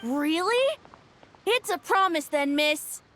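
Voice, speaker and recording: A woman's voice: very high-pitched, lively intonation, slow pace, a bit bright, slight background noise, exaggerated voice. A woman playing an eager, curious, wide-eyed young child in a video game. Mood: hopeful.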